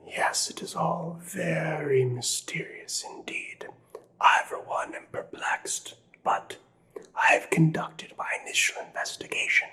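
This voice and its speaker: A man's voice: a little high, sharp tone, exaggerated voice. A man playing a nervous, courteous doctor in a whispered ASMR mystery roleplay, speaking in formal, hesitant phrases. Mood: nervous, perplexed. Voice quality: breathy whisper. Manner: formal, hesitant, old-fashioned polite phrasing. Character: nervous, courteous, perplexed doctor aiding a murder investigation.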